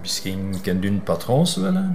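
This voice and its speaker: An actor playing a patronising tough who makes veiled threats in an insistent way, with a Flemish accent. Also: male voice, low voice, sing-song, moderate pace, creaky, loud music behind the voice, exaggerated voice. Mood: menacing. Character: menacing tough man giving veiled threats. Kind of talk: drama acting.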